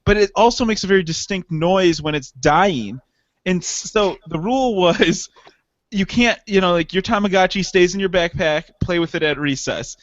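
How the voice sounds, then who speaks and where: A man's voice: high voice, normal speed, no room sound, muffled recording. A man in his late twenties, co-hosting a podcast in rambling, laughing, profane casual chat. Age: 20s. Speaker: podcast co-host.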